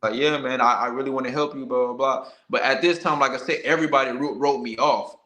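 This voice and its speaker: A man's voice: medium pitch, fairly even intonation, fast talker, sharp tone. An American man, a boxer being interviewed, telling his own story candidly in colloquial, casual speech with frequent 'you know' tags. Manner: candid, colloquial, peppered with 'you know what I'm saying'. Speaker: professional boxer, podcast guest. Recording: roomy, echoey sound.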